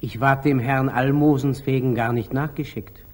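An actor playing a humble, artless monastery lay brother with a hesitant, meek manner in a 1940s German radio drama. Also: male voice, medium pitch, steady tone, normal speed, close to an everyday voice.

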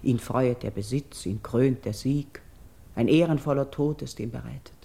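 An actress playing a noble, devout exiled priestess in grief, delivering classical radio verse in a solemn, declamatory, measured way, at times hushed. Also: female voice, very deep voice, vocal fry. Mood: lamenting, resigned.

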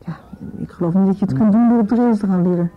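A probing man in a radio drama played by an actor, with a quick delivery. He puts pointed questions to a younger man. The recording is muffled. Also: high-pitched, natural voice. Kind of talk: Dutch radio play dialogue.

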